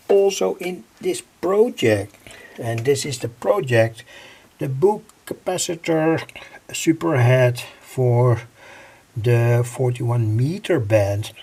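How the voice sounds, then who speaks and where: Guy explaining electronics stuff, halting, really slow, creaky voice, keeps using filler words. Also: a little high, darkish tone. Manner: halting, repeats filler phrases like "say". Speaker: presenter of electronics project videos. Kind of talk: informal technical explanation. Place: at home, indoors.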